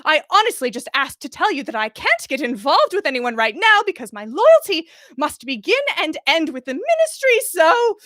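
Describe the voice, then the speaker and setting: High female voice, prim middle-aged witch acted by a woman, haughty, clipped, easily flustered, bright, storybook character. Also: very animated, normal speed, few pauses, no echo, clean studio sound. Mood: wounded, haughty.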